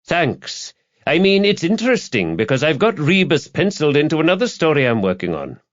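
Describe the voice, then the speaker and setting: A man's voice: fairly high pitch, huge pitch swings, brisk, dull audio, pitched above the performer's own voice. A man voicing a sly newspaper reporter in an audiobook, needling and insinuating as he fishes for information in a conversational way. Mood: probing.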